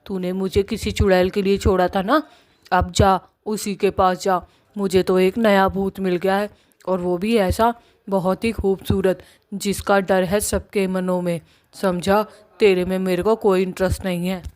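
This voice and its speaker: Coquettish, sharp-tongued cartoon witch played by a woman. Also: mid-range voice, little pitch movement, measured pace, natural voice. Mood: angry. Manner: coy with her lover, scornful when crossed. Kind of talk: Hindi cartoon story voice acting.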